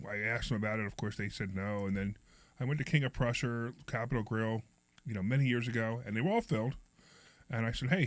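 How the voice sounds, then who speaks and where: Hoarse fast-talking man hosting a show. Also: mid-range voice, dry recording. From Pennsylvania. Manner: rambling, animated storyteller. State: hoarse, froggy voice. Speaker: radio show host. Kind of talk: casual storytelling chat.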